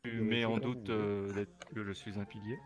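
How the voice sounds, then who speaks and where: A man's voice: lowish voice, flat delivery, normal speed, faint music behind. A man improvising a roleplay as a senior warrior, speaking in formal, solemn phrases. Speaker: roleplayer acting as a senior swordsman.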